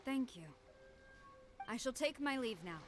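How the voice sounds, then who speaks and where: A woman's voice: high voice, expressive, unhurried, music in the background. A woman voicing a stern young female military general in a video game, speaking in a formal, commanding way.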